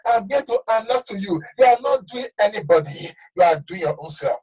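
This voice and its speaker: A man's voice: high-pitched, animated, a little fast, husky, soft background music. Impassioned preacher man, distant mic. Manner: impassioned, shouting and dropping to hushed warnings. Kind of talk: sermon. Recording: voice from far off the mic.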